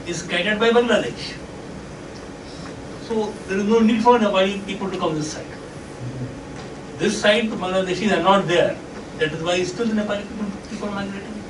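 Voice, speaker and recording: A man interjecting in a discussion with a short argument, assertive and matter-of-fact. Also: high-pitched. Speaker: discussion participant. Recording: echoey room, distant mic, clear noise.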